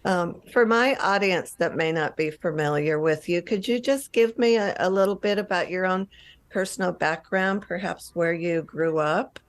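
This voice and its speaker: A woman hosting an interview over a video call, introducing her guest in short, halting, gushing phrases. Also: fairly low pitch. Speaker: interview host. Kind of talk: spoken guest introduction and questions.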